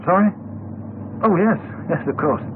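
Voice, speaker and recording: An actor playing an awkward, evasive, polite house agent with an English accent, hesitant and apologetic, in a radio drama. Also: male voice, high voice, lively intonation, a little slow, slight background noise. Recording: dull, band-limited 1980s radio recording.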